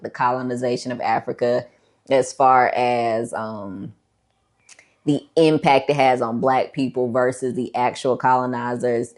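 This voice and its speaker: A woman's voice: rumbling low, a little mellow. A woman talking casually as a book review vlogger, conversational and emphatic, repeating herself to make a point.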